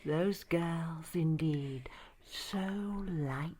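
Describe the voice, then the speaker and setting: A woman's voice: deep voice, a little mellow. An actress in a horror audio play, playing a cruel, gloating old noblewoman murderess with a theatrical, sinister delivery that drops into hisses. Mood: taunting, sinister. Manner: theatrical, sinister, drawn-out, dropping to hissed whispers.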